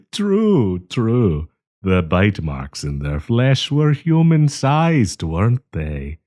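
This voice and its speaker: A man's voice: sits low, huge pitch swings, mellow, full character voice. A man playing a kindly old professor with a hidden possessive menace, courtly and teasing in an intimate audio roleplay. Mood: sly, menacing. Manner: courtly, teasing, chuckling, deliberate.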